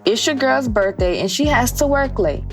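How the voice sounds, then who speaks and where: Audio drama role: feisty young American woman played by an actress, quick to scold, highly expressive, soft music bed. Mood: playful challenge. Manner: sharp-tongued, bantering. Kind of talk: casual audio drama dialogue.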